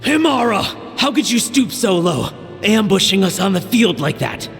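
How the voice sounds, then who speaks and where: Outraged, high gravelly warrior. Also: male voice, sing-song, normal speed, heavily acted. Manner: accusatory, declamatory. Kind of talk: video game voice acting, dramatic dialogue. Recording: long reverb, music underneath.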